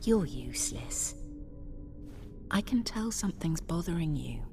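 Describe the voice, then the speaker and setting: Gentle young noble wife, unhurried, mellow, up and down melody, game drama. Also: female voice, lowish voice, animated, soft background music. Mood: hurt, insistent. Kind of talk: dramatic video game acting.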